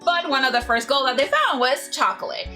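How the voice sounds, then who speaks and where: A woman's voice: high voice, animated, fairly quick, sharp tone, faint music behind. A young woman from Ecuador, chatting casually as co-host and sharing fun facts with frequent laughs. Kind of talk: casual chat.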